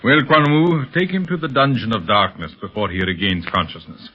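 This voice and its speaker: A man playing a suave, coldly commanding villain in a 1930s radio serial, speaking in a smug, formal, deliberate way. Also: fairly low pitch, big pitch swings, husky. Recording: muffled vintage 1930s radio recording.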